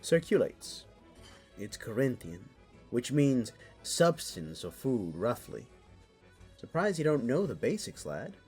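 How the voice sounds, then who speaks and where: A man's voice: medium pitch, very animated, takes it slowly, darkish tone. A man playing a cheeky, pompous raccoon lord of mischief in a fantasy audio drama, teasing and dry. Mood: mocking. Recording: background music under the voice.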